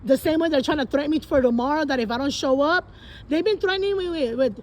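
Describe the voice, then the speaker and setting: A woman's voice: sits high, quick pace. A woman in a heated phone conversation, insistent and emphatic, often repeating herself for weight. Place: at a public library. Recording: faint background noise.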